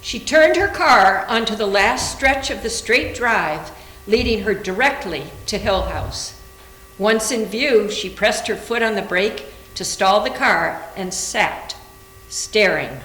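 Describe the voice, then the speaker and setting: A woman's voice: mid-range voice, animated, slow pace, fairly bright tone. A woman reading scripted narration as the narrator of a readers theater performance. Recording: echoey room with faint hum.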